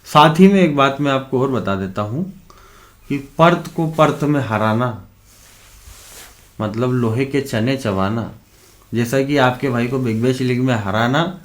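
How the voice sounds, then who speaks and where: A man with a sore throat and a cough, talking casually straight to his viewers as a fantasy cricket tipster, calling them brothers and checking in with "okay" again and again. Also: mid-range voice. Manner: chatty, keeps addressing viewers as brothers, repeats "okay". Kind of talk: casual direct-to-camera vlog.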